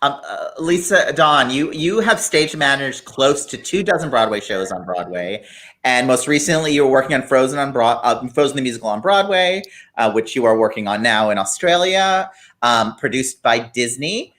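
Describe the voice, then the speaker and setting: Lively-pitched man hosting a video call. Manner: halting, many "um" and "uh". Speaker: host. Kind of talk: interview host questions.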